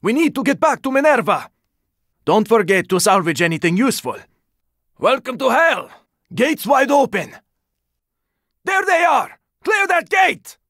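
A man playing a gruff, weary, sardonic squad fighter in a zombie video game, speaking in terse lines with a raspy voice. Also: extremely high pitch, sing-song, a little slow, pauses often, a bit bright, no echo, clean studio sound, exaggerated voice. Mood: urgent, shouting. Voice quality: raspy, gravelly. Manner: terse, urgent, swearing. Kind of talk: video game character combat barks.

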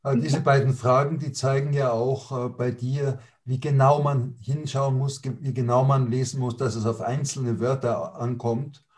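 A man in his sixties comments on literature as a discussion partner on a video call. His pitch is medium and stays very flat, and his delivery is measured with small restarts. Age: 60s. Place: indoors, book-lined study on a video call.

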